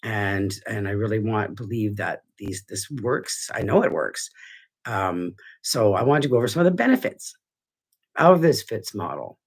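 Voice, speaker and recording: A man's voice: lowish voice, expressive, normal speed. A middle-aged man, a dog trainer, talking earnestly to camera from his living room, stuffy and congested, rambling with restarts. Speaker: dog trainer hosting a weekly show. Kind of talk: casual talk to camera.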